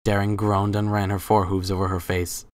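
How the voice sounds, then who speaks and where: A man's voice: low voice, little pitch movement, fairly quick, dry recording. A man reading scripted third-person story narration as the narrator of a dramatic reading.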